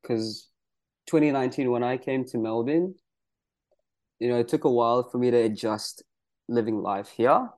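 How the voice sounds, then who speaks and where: Earnest young wrestler from Malaysia. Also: male voice, medium pitch, moderate pace, pauses often, a little mellow. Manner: earnest, proud, many "you know" fillers. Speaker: pro wrestler guest. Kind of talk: casual interview answers.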